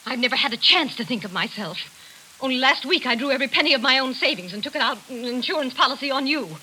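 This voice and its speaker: A domineering, sharp-voiced stage mother. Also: high voice, lively intonation, quick pace, heavily acted, rough recording. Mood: indignant. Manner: theatrical, overbearing. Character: domineering, bitter middle-aged stage mother. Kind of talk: 1940s radio drama acting. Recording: hissy 1940s radio broadcast.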